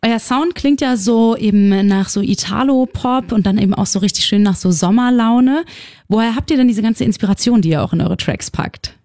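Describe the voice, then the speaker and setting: A woman's voice: a little high, normal speed, mellow, no echo. A woman hosting a radio interview in a studio, with a Cologne accent and an upbeat, chatty manner. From Germany. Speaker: radio host. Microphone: studio mic.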